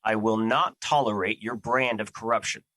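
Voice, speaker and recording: A man's voice: lowish voice, normal speed, sharp tone, no echo. A man playing Fear as a personified emotion in an audio drama, troubled, defensive and duty-bound, with earnest, formal phrasing. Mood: stern.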